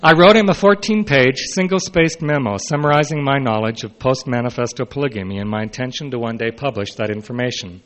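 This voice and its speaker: Male, middle-aged or older, medium pitch, measured delivery of a written autobiographical talk with occasional off-script asides. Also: animated, fairly bright tone. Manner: measured, announces quote and unquote, brief unscripted asides. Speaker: historian giving a talk. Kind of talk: reading a prepared autobiographical speech aloud.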